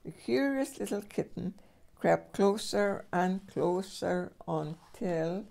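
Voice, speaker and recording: An older woman reading a children's storybook aloud as a great-grandmother, with expressive storyteller phrasing. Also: lowish voice, takes it slowly, vocal fry.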